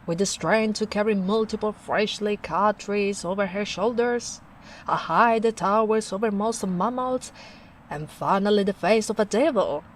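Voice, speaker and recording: A woman playing a gentle, caring big ogre in a soft-spoken ASMR roleplay, musing in an unhurried way. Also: mid-range voice, slight background noise, natural voice. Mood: wry, dramatic.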